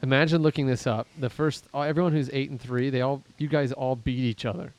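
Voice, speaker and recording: Man, podcast host, from Pittsburgh, rambling, self-deprecating, casual chat, mid-pitched voice. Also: fairly even intonation, a little fast, darkish tone, no room sound, rough recording. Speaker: podcast host and league commissioner.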